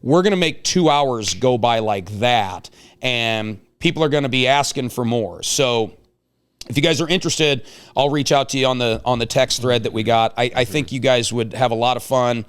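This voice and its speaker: A man's voice: medium pitch, moderate pace, smooth. A man hosting a casual talk show, chatting with guests in a playful, joking way. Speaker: show host.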